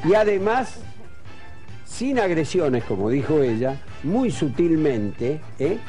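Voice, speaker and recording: A man's voice: on the high side, very animated, slow pace, mellow, faint music behind. A man with an Argentine accent hosting a television talk show, asking questions and leading into breaks. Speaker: TV show host.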